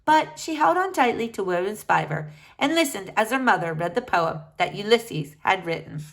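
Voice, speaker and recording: A woman reading a children's book aloud with expressive storytelling. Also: mid-range voice, normal speed, creaky. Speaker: reader.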